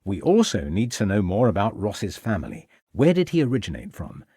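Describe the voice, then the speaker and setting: Man as a brisk, commanding Victorian inspector. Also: on the low side, huge pitch swings, dark tone, slightly rough, dry recording, studio quality, heavily acted. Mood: businesslike. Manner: commanding, formal, questioning. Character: brisk, authoritative Victorian police inspector, male.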